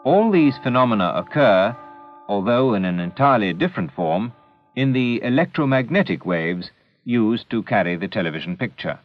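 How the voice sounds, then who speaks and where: A man narrating a scripted educational film in a measured, deliberate, didactic manner, on an old 16mm film soundtrack. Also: lowish voice, animated, muffled recording, soft background music.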